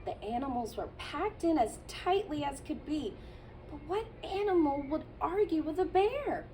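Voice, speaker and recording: A childcare teacher, a woman, reading a picture book aloud to children in a gentle storytelling manner with playful asides. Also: high-pitched, animated, normal speed, recorded from a distance, slight background noise.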